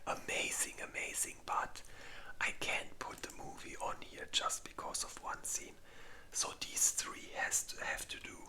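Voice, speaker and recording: A host speaking in a breathy, unvoiced whisper, rambling informally in a casual ASMR ranking video. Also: a little slow, sharp tone, poor audio.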